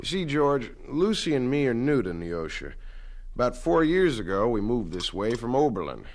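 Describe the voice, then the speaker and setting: Man, kindly rural foreman, folksy and talkative, very animated swooping delivery, creaky voice, radio drama. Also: medium pitch, moderate pace. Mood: chatty, genial. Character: John, kindly talkative plain-spoken rural Midwestern mill foreman. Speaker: actor in an audio drama. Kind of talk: dramatized radio play acting.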